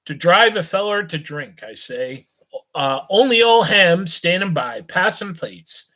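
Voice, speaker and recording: A man's voice: sits high, expressive, measured pace, bright, forceful tone, voice pushed up, full character voice. A man voicing a drunk, rich young man of about eighteen, slurring and rambling in a thick voice. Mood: drunken rambling. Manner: slurring and hiccuping, lurching tipsy chatter.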